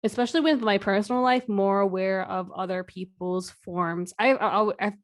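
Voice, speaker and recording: A young woman with a low, quiet voice talks in a relaxed, reserved way. She co-hosts a casual podcast conversation and never gets loud or animated. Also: moderate pace. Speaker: podcast co-host. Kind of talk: casual chat.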